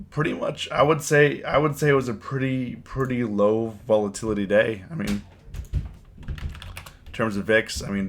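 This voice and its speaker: A man with a cold and a hoarse voice, hosting a casual live stream and chatting with his viewers, often repeating his words. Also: fairly low pitch. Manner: rambling, repeats words, reads chat aloud. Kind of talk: casual live stream chat about trading.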